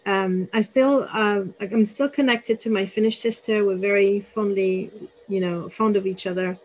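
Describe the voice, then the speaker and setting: A woman hosts a conversational interview. Her voice is husky rather than clean, set at a middle pitch, as she asks questions and adds her own reflections. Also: fairly even intonation, moderate pace, darkish tone, poor audio. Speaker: interviewer, host.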